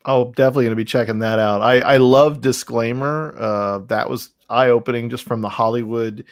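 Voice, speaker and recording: A man with a mid-pitched, gravelly creak in his voice hosts a casual interview. He talks effusively and emphatically, rambling from thought to thought. The sound is very dry. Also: steady tone, a little fast, no echo. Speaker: podcast host and interviewer. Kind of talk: casual, enthusiastic interview chat.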